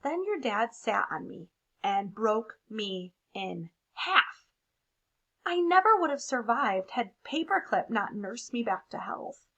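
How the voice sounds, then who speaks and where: A woman's voice: a little high, very animated, slow pace, fairly bright tone, muffled recording, exaggerated voice. A woman voicing a neglected but hopeful maroon crayon in a wry, plaintive storybook delivery. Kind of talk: expressive picture-book read-aloud.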